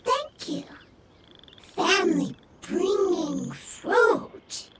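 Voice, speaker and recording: A very high, childlike little forest creature speaks extremely slowly in clipped, broken phrases. It sounds timid and earnest, a video game critter over heavy background noise. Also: female voice, animated, exaggerated voice. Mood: grateful.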